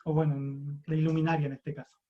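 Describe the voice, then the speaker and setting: High-voiced Chilean lecturer on a muffled call. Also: male voice, little pitch movement, quick pace. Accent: Chilean Spanish. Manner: casual, self-deprecating, thinks aloud with restarts. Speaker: university lecturer. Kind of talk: online class lecture. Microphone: computer mic on a video call.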